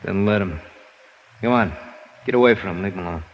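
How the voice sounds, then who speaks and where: An actor playing a brutal, jumpy gangster holding a hostage in an old radio crime drama, curt and bullying. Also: male voice, sits low, a little fast, dull audio. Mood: menacing, irritable. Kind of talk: 1950s radio crime acting. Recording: old radio recording with faint noise.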